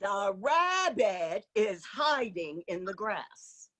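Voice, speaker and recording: A woman's voice: mid-range voice, sing-song, drawn-out words, fairly bright tone, exaggerated voice. A woman reading a children's picture book aloud as a playful, stubborn contrarian with a teasing, emphatic delivery. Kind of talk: animated children's read-aloud.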